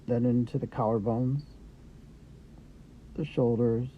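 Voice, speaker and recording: A man leads a guided meditation at a very measured, slow pace, leaving space between short phrases. His instructions are gentle and unhurried, in a mid-pitched voice. The recording is somewhat dull and lo-fi, with light noise behind him. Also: fairly even intonation. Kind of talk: guided meditation, Yoga Nidra. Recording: dull lo-fi audio with background noise.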